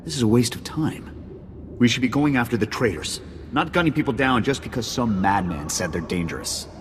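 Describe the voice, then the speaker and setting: An actor playing a gruff, cynical army sergeant in a video game, grumbling skeptically. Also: male voice, mid-range voice, expressive, a little fast, big-room sound, soft background music, natural voice. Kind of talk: video game cutscene acting.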